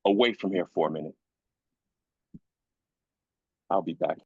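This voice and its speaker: A man's voice: low voice, very fast, breathy edge, no echo, dull audio. An actor playing a grieving, furious father in a dramatic script reading, speaking emphatically and incredulously, repeating phrases for weight. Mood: stern, agitated.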